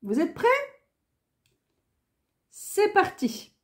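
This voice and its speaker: Slow, high-voiced woman teaching French. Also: very animated. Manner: clear, careful enunciation with each phrase said twice. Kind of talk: beginner language lesson, repeating phrases slowly.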